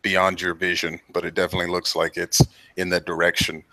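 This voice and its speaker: Low voiced guy gm, punchy bright tone, dry close sound, just describing stuff calmly. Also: dry recording, low-quality recording. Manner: plain, measured scene description. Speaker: game master. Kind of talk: describing scenes in a tabletop game.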